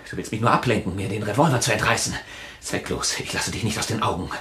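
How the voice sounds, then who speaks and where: An actor playing a cowardly, selfish lover with a revolver in a thriller radio play, tense and erratic. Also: male voice, lowish voice, fast talker, reverberant, noisy background, lightly acted. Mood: grim, resigned. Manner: tense, swinging from muttering to shouting.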